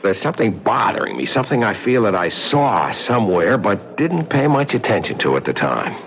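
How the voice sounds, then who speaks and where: Radio play: earnest innkeeper, low lively voice, dull old broadcast. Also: male voice, brisk. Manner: earnest, steady, worried. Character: worried, broke male innkeeper, determined. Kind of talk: 1970s radio drama acting.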